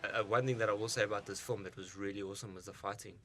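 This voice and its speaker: Bright-voiced musing man co-host. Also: on the low side, steady tone, racing, soft background music. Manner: musing and speculative, with stumbles and repeats. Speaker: radio movie show co-host. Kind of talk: casual film chat.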